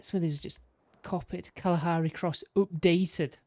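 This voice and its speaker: Hoarse, rambling man on a dry mic. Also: on the high side, steady tone, moderate pace, darkish tone, no echo. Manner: rambling, self-interrupting, talking to himself. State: losing his voice. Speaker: gaming streamer. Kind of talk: casual commentary while showing his game builds.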